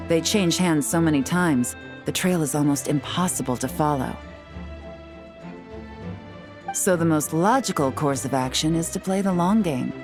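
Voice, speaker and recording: A composed female intelligence agent from a video game, with a low voice. She speaks in a measured, knowing way, confident and calculating. Faint music sits behind her. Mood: explaining coolly. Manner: measured, knowing, self-assured.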